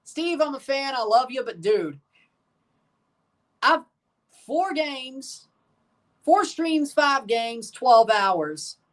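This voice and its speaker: A man's voice: very high voice, very slow, pauses often, far from the mic. Chatty man calling a live match. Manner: chatty, rambling, calls goals excitedly. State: guarding a strained voice. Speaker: streamer hosting a match watchalong. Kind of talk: live casual match commentary.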